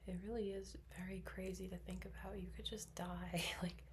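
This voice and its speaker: A woman in her twenties whispering in a soft, rambling ASMR monologue, full of fillers. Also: medium pitch, little pitch movement, moderate pace. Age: 20s. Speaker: ASMR creator. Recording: faint background noise.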